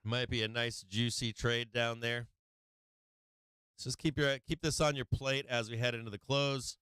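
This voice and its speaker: A man's voice: medium pitch, little pitch movement, normal speed, bright, forceful tone, clear, resonant tone, no echo. A man hosting a live stock market stream, talking loosely with lots of fillers and swearing, his voice getting worn this week. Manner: loose and profane, many fillers, laughs often. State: losing his voice. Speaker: stock market livestream host. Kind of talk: casual trading commentary.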